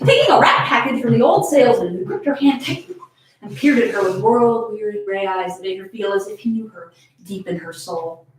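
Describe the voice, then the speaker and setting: A woman's voice: mid-range voice, expressive, a little fast, slight background noise. A giggly woman hosting a fan fiction reading, moving between dramatic narration and casual banter. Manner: giggly, breaks into laughter while reading. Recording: very echoey room, distant from mic.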